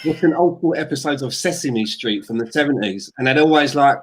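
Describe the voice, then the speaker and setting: A man's voice: mid-range voice, a little fast. A man co-hosting a casual chat, telling stories animatedly and laughing mid-sentence. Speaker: podcast co-host.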